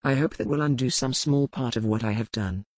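A grave, resolute pirate captain, as a woman narrator puts him on, his voice pushed well below her own and darker in tone. He speaks with formal, measured firmness. The recording is dry and close. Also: extremely low pitch, darkish tone, dry recording, voice pushed down. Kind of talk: audiobook character voice.

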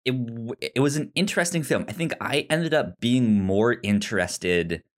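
Man, podcast co-host, stutter, repeated words, halting delivery, casual film talk, medium pitch.

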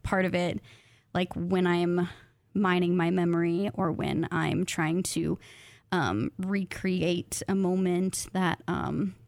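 A woman speaking as a guest writer in a casual interview, thoughtful and self-deprecating, quick to laugh. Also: on the low side, level tone, creaky, darkish tone, no echo, clean studio sound. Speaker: guest writer, graduate student of creative nonfiction.

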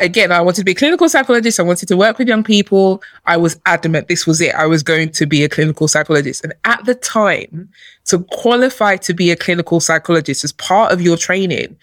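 A woman's voice: lowish voice, fairly bright tone, no echo, clean studio sound. A woman from who was born in Nigeria, a chief executive telling her story as a guest on a podcast, laughing often. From born in Nigeria, raised in London. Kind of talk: casual podcast interview.

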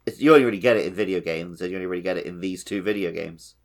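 Male podcast co-host, lowish voice, informal and musing, casual gaming conversation. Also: racing. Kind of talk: casual video game chat.

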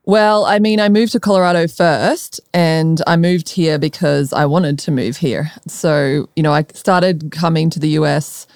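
Australian female founder as interview guest: informal, crisp and smooth, dry recording. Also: sits low, steady tone, a little slow, few pauses, a little mellow, dry recording. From Melbourne, Australia. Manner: laughs easily, informal. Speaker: podcast guest, startup founder. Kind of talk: conversational interview.

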